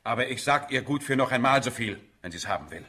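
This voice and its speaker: An actor playing a hot-tempered, gruff middle-aged father in a German radio drama. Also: medium pitch, expressive, fast, bright, forceful tone. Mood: indignant. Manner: gruff, scolding.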